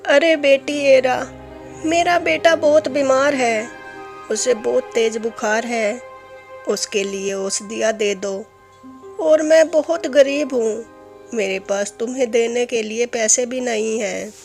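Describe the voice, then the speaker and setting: A woman's voice: a little high, slow pace, creaky, faint music behind. A woman voicing a poor old village mother in a fairy tale, pleading for help.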